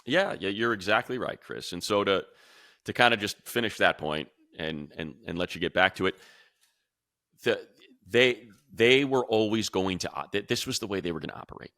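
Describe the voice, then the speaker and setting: A man's voice: lowish voice, lively intonation, moderate pace, sharp tone, no echo, studio quality. A man hosting an unscripted sports talk show, speaking emphatically and repeating phrases to drive points home.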